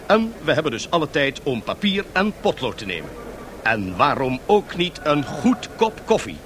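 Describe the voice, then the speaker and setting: Excitable high-pitched stock-market radio reporter. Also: male voice, moderate pace, background noise. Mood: jovial, salesmanlike. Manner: theatrical, salesmanlike, addressing listeners directly. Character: excitable, boosterish 1920s American stock-exchange radio reporter. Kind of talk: 1970s Dutch radio drama, live broadcast commentary.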